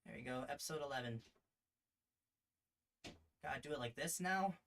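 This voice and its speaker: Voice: medium pitch, normal speed, fairly bright tone, slight background noise. A streamer chatting casually and muttering to themselves during a livestream. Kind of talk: casual livestream chat, reading a visual novel aloud. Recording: music in the background. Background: music.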